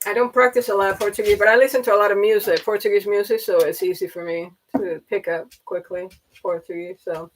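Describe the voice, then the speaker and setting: A woman's voice: medium pitch, steady tone, quick pace, a little hiss or hum, rough recording. A middle-aged woman, hosting a live stream in a rambling, chatty way with many fillers and restarts. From Panama. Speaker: livestream host. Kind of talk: casual chat.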